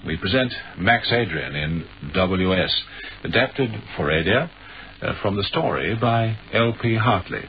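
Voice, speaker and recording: A man's voice: lowish voice, lively intonation, a little slow. A man giving a formal, scripted announcement as the announcer of a radio drama. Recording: muffled, hissy old radio recording.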